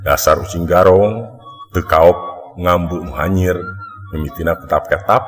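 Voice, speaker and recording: A man's voice: deep voice, measured pace. A man with a Sundanese accent narrating a mystery folk tale in a dramatic storytelling style. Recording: long echoing reverb, music underneath. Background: background music.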